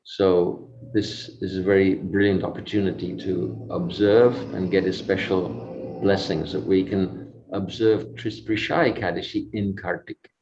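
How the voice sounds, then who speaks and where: A man, a swami, guides a devotional pilgrimage in an unhurried, musing way, speaking in halting fragments and repeating himself. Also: sits low, level tone, slight background noise. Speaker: swami guiding a virtual pilgrimage. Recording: dull lo-fi audio. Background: kalimba music, soft mallet-like tones.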